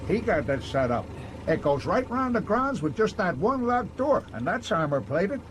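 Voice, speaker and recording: An actor playing a folksy, plain-spoken old frontier scout with an American accent in a radio drama. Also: male voice, sits high, very animated, normal speed, background noise. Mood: grave, reminiscing. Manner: folksy, rambling storyteller.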